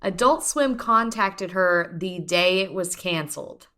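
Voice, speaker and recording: A woman's voice: on the low side, animated, unhurried, sharp tone. A woman in her mid twenties co-hosting a podcast, talking in an enthusiastic, rambling casual way. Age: 20s. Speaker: podcast co-host. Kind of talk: casual chat.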